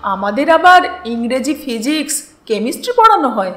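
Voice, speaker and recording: A woman plays a proud young schoolboy in a storybook reading. The voice is high, animated and curious, forward but polite. It has roomy echo around it. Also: normal speed. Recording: roomy echo with music underneath.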